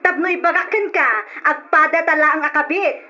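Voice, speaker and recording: Scorned, high-pitched mistress, accusing. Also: female voice, fairly even intonation, brisk, sharp tone. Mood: accusing, defiant. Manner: accusing, insistent. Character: scorned, reproachful mistress confronting her cheating lover. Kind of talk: Ilocano radio drama acting. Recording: dull old radio drama recording, noisy.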